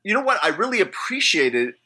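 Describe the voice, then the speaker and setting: Bright-voiced man chatting about movies. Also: high voice, big pitch swings, fairly quick, breathy edge. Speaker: podcast panelist. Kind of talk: casual movie discussion.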